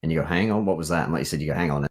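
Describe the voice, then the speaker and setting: A man's voice: extremely low pitch, rapid-fire, breathy edge. An Australian man chatting casually as a game writer and developer, hesitant and thinking aloud. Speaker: visual novel writer and developer.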